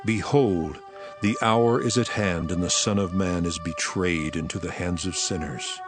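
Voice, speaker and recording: An actor playing a solemn, sorrowful holy teacher with authority, speaking in measured declamation in a scripture audio drama. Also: male voice, low-pitched. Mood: grave resignation. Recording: background music under the voice.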